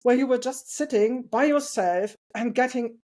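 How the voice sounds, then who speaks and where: A woman talking as a podcast guest about parenting, earnest and reflective, with a loud voice and light laughs. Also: on the high side, moderate pace. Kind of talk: casual interview conversation.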